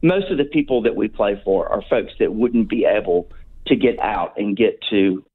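A man's voice: on the low side, lively intonation, normal speed, slightly rough. Southern American man in his sixties on a phone line. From North Carolina, USA. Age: 60s. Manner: hesitant, many ums and restarts. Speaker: radio guest, brass band member. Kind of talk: casual radio interview.

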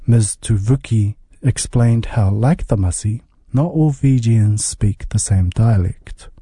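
A man narrating a radio feature report in a measured, scripted way. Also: lowish voice, mellow, slightly rough.

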